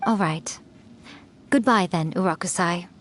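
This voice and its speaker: A woman's voice: fairly low pitch, measured pace, a little hiss or hum, natural voice. An actress playing a sly, elegant female fox yokai in a video game, poised, teasing and smug. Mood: gentle, reassuring. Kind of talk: scripted video game voice acting.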